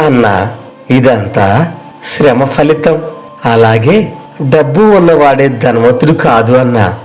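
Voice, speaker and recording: A man's voice: medium pitch, a little mellow, natural voice, low-quality recording. Man playing an earnest village farmer. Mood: humble, wise. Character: earnest, humble village farmer in moral story. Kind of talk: moral storytelling dialogue.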